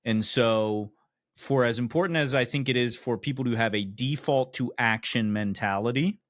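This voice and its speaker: A man's voice: medium pitch, moderate pace, dry recording, clean studio sound. A man hosting a podcast, easygoing and reflective as he steers the talk. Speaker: podcast host. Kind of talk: casual discussion.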